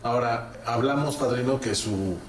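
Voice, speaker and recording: A man's voice: mid-range voice, moderate pace, recorded from a distance, a little hiss or hum. A man interviewing a guest, asking probing questions that he repeats and rephrases. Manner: probing, repeats questions, steady "ujú" backchannel. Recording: echoey room, away from the mic.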